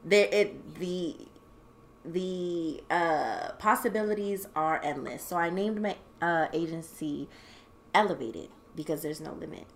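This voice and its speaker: A young woman co-hosting a podcast, talking casually in an animated, rambling way with plenty of swearing. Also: fairly low pitch, unhurried, a little hiss or hum. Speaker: podcast co-host. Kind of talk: casual chat.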